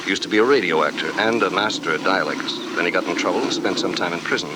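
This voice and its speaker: An actor playing a cool, dry-witted investigator, deadpan and unhurried, in a 1940s radio drama. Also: male voice, sits low, natural voice. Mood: calm, matter-of-fact. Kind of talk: 1940s radio acting, first-person narration. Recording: very noisy old radio recording.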